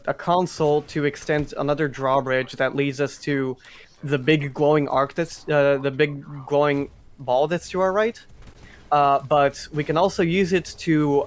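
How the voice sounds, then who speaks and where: A man giving casual live commentary on a speedrun, explaining as he goes in a hesitant, restarting way. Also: a little high.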